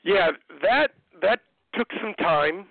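A man's voice: high voice, expressive, slow pace, creaky, dry recording. A middle-aged man with an American accent telling anecdotes in a casual, conversational interview style, as a guest over a narrow phone line. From United States. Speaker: guest, parent and speaker. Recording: narrow muffled phone-line radio sound.

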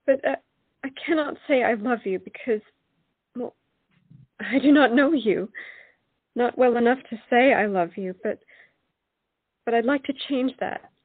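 Woman playing earnest high-voiced young lady Roxane, muffled. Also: measured pace, long pauses, slightly rough, no echo. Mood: hesitant, tender. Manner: pleading, earnest. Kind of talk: romantic audio drama acting. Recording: muffled lo-fi audio, music underneath.

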